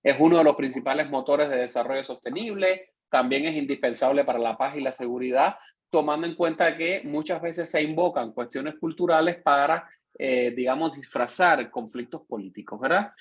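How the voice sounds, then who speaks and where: A man with a Cuban accent teaches an online course with a husky, slightly rough voice. His explanations are patient and thorough, and he keeps turning back to his listeners to make sure they follow. The sound is dull and lo-fi, like audio from a video call. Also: a little high, normal speed. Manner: explanatory, checks in with listeners by tagging questions. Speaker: course instructor. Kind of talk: online lecture.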